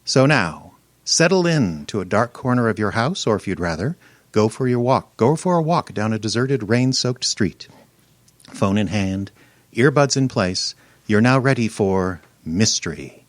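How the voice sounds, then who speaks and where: A man hosting live radio theater, giving a scripted, theatrical introduction with measured delivery and dramatic pauses. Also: on the low side, lively intonation, dry recording. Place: room in a home.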